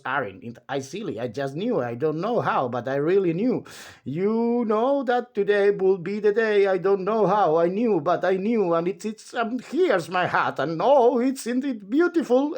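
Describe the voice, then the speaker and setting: Guy doing a cheery fussy chatterbox from a kids book, high voice, rambles on and on, cuts himself off. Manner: breathless rambling, self-interrupting, fussy. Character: excitable, endlessly rambling cheerful male chatterbox, storybook character.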